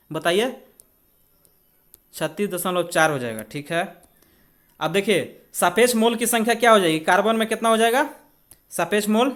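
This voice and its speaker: High-pitched Indian man teaching. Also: fairly bright tone. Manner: conversational, checks in with rhetorical questions. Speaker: exam coaching teacher. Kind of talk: spoken maths and chemistry tutorial.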